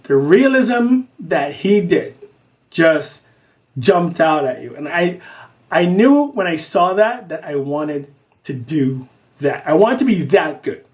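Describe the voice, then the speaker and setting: A middle-aged man from Guyana, telling his own story in an earnest audition video. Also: on the high side. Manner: earnest, lively, swings between quick bursts and slow emphasis. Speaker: artist making an audition video. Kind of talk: personal storytelling to camera.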